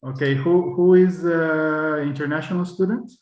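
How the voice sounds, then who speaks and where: A man's voice: a little high, steady tone, moderate pace, dull audio. A man speaking English as the guest speaker, friendly and often repeating words as he thinks. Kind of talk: colloquium Q&A. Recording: echoey room.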